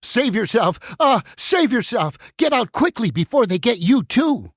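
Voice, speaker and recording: A woman's voice: on the high side, sing-song, a little slow, no echo, studio quality. A woman playing a frantic, world-weary banned book in a musical puppet show, urgent and dramatic as it warns and interrogates. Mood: frantic warning.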